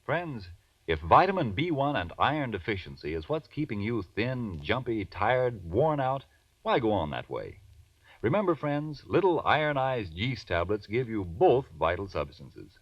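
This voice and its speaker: A man's voice: mid-range voice, very animated, moderate pace, no echo. An American man announcing and selling in polished, emphatic 1940s radio style. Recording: dull 1940s radio recording.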